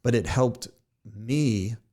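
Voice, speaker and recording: A man's voice: mid-range voice, takes it slowly, warm tone. A man hosting a podcast, telling self-deprecating stories and laughing at his own jokes. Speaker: podcast host. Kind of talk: casual podcast conversation.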